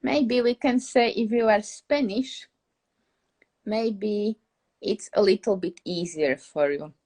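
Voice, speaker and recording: A woman's voice: medium pitch, slow, a little mellow. A young woman from Slovakia with a Slovak accent, casually teaching English on a live stream, chatty and laughing often as she searches for words.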